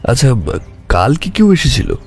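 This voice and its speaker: A calm, probing detective acted by a man in an audio drama. His mid-pitched voice moves with expressive inflection as he questions and reasons deliberately, with music behind him. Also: gravelly. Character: calm, probing male detective, sharply observant.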